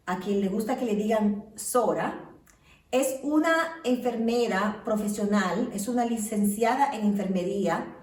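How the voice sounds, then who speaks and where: A woman hosting an interview, introducing her guest and asking lively questions. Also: a little high, reverberant, far from the mic, rough recording. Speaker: interview host. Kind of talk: casual interview, warm introductions. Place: home of the guest.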